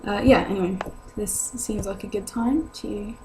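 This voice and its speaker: A woman's voice: mid-range voice, normal speed, a little mellow. A young British woman with a sore throat chats casually and hesitantly to camera, rambling as she goes, in a vlogger's manner. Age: young adult. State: ill with a sore throat, voice lower than usual. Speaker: vlogger answering viewer questions. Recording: noisy home recording with hum.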